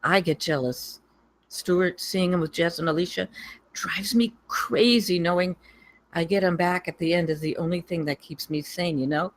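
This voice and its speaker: A woman's voice: on the low side, moderate pace, close to an everyday voice. A woman playing a jealous, lovesick wife who confides her hurt in a film script table read. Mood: jealous, wistful.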